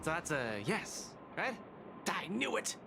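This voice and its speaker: An actor voicing a boisterous, eager young male fighting champion in a video game, with an excitable, cajoling delivery. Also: high-pitched, slow pace, vocal fry, heavily acted. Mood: teasing, triumphant. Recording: loud music under the voice.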